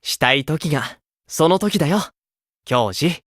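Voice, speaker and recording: A man voicing a gentle, kindly older-brotherly idol with a romantic streak in an anime game, speaking softly. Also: a little high, expressive, no echo, studio quality. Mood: warm, teasing. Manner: soft, kindly, unhurried.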